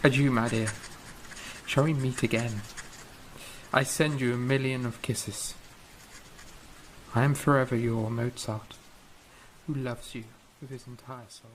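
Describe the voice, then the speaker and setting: A man's voice: medium pitch, steady tone, a little slow, pauses often, close to an everyday voice. A man playing a lovesick young composer, noisy background. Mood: tender devotion. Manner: yearning, romantic, heartfelt. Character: young Mozart, tender lovesick composer writing a letter. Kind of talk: dramatic audio drama monologue.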